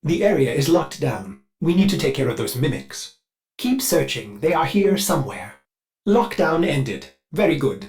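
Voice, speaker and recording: A man's voice: a little high, big pitch swings, normal speed, darkish tone. An actor playing a fussy, devoted male ship AI in a video game, eager and anxious, with a polite, theatrical manner. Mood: focused. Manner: polite, theatrical, refers to self in third person. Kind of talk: video game companion dialogue.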